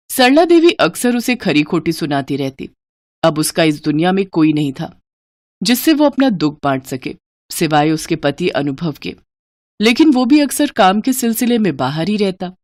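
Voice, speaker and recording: A woman's voice: medium pitch, darkish tone, no room sound, clean studio sound. A woman narrating a scripted moral story for children as the storyteller.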